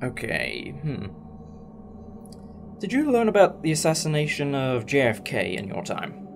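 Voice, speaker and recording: A man's voice: medium pitch, wildly swooping pitch, unhurried, vocal fry, slight background noise, exaggerated voice. A man acting in a fan audio drama as a thoughtful, reassuring alien time traveller who explains things in a musing, conversational way. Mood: musing, teacherly.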